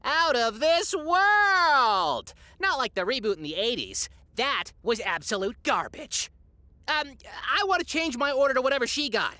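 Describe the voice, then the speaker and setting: An opinionated nerdy collector gushes about their collection. The voice is high, bright and bouncy. The recording is dry. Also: female voice, big pitch swings, a little slow, no room sound, heavily acted. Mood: gushing. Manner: gushing, opinionated.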